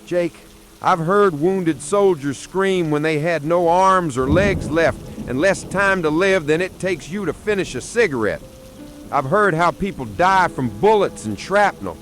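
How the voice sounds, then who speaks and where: A man's voice: a little high, expressive, unhurried, a bit bright, soft background music. A man playing a blunt, tough old Vietnam veteran grandfather telling his story in a horror radio drama. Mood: horrified. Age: older. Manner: blunt, salty military storytelling.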